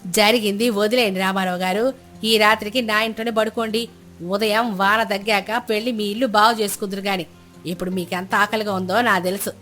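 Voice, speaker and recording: A woman plays a kind, practical old village woman in a storybook tale. She speaks in a homely, pleading and reassuring way, forgiving and a little lonely. Music plays softly underneath. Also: medium pitch, steady tone, a little fast, lightly acted. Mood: warm, forgiving. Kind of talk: moral storybook character dialogue.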